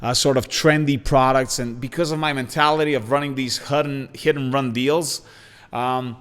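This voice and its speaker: A man in his forties from Bulgaria hosts his own podcast in a casual solo monologue, talking with lots of energy and plenty of "uh"s and restarts. His voice is smooth and resonant at a middling pitch. A long reverb hangs around it, as if he were in a big, hard room. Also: moderate pace. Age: 40s. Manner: energetic, talkative, with frequent fillers and restarts. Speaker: podcast host. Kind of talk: casual self-introduction monologue.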